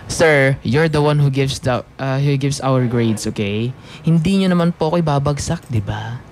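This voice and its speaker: An actor with a Filipino accent playing an insolent, sarcastic student son in a radio drama, slipping between Tagalog and English. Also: male voice, medium pitch, a little slow, a little mellow, a little hiss or hum, natural voice. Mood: evasive, cheeky. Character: sullen, insolent young adult son, a student.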